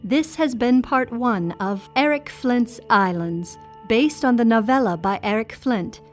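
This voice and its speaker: A woman's voice: a little high, measured pace. A woman reading scripted closing credits for an audio production as its announcer. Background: faint music.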